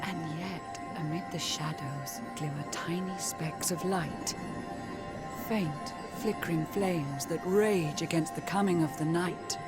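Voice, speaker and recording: An actress playing a solemn storyteller in a fantasy video game, narrating in a grave, poetic, measured way. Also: female voice, low voice. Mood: ominous. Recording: music clearly under the voice.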